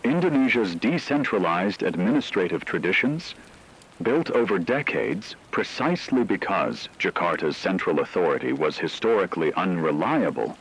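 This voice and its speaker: A man delivering scripted news analysis on the radio in a measured, formal manner. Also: fairly low pitch, slightly rough, poor audio.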